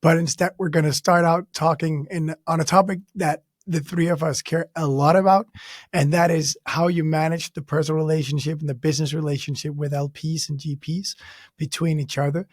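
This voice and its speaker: A man hosting a podcast in a conversational way, his voice hoarse and raspy as if broken. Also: on the high side, no echo, studio quality. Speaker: podcast host.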